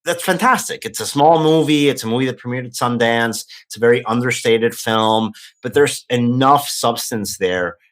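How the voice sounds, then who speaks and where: A man's voice: mid-range voice, a bit bright. Man with a Mexican accent, opinionated. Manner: opinionated, measured analysis. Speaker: podcast co-host. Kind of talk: casual film industry chat.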